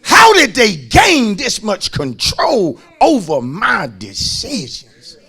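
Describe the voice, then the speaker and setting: Raspy, fervent American male preacher. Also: high voice, wildly swooping pitch, slow pace. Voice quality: raspy, gravelly. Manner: folksy, emphatic, repeats phrases, swings from hushed asides to shouting. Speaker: pastor preaching to a congregation. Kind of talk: fervent sermon.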